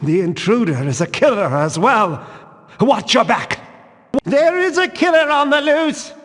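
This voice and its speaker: An actor playing a watchful, excitable zealot guard in a fantasy game, with an emphatic, declamatory delivery. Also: male voice, very high-pitched, measured pace, full character voice. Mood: wary, warning. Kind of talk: scripted fantasy video game barks. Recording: long reverberant hall echo.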